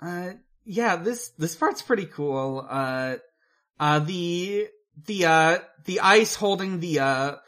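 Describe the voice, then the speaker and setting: Slow, halting podcast co-host. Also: medium pitch, lively intonation, a bit bright. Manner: hesitant, halting, dry humour. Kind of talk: casual film discussion.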